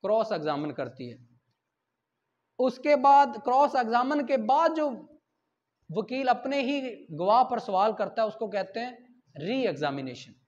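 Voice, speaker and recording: A man's voice: extremely high pitch, sing-song, measured pace, a little mellow. A man with a Pakistani accent lecturing on law in an informal way, explaining point by point, asking and answering his own questions and switching into English for legal text. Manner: asks questions and answers them himself. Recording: dull, muffled lo-fi recording.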